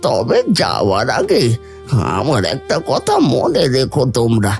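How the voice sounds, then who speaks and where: Humble cartoon old beggar: slow and lilting, pitch rising and falling widely, soft music underneath. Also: male voice, huge pitch swings, exaggerated voice. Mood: consoling. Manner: slow, pleading, creaky old voice. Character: frail starving old male beggar, humble and grateful. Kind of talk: cartoon voice acting.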